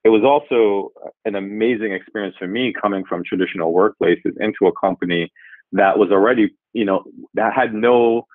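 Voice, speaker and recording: Deep-voiced man on a tinny line. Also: no room sound. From Jamaica. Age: 40s. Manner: measured and thoughtful, often pausing to rephrase. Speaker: guest, revenue executive. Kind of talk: reflective interview answers. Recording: tinny phone-like line, dry.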